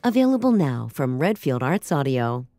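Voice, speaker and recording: A woman announcer with a low, mellow voice reads scripted credits. Faint music plays behind her measured presentation. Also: sing-song, no echo, studio quality.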